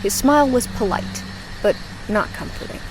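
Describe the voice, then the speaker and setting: Suspenseful woman narrator over clear ambient noise. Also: a little high, normal speed. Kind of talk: scripted horror audiobook narration.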